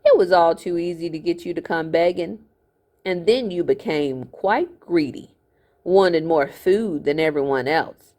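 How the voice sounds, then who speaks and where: A woman's voice: on the low side, unhurried, darkish tone, lightly acted. A woman voicing a greedy, fat older miller in a storybook, a smug villain with a falsely genteel, gloating manner.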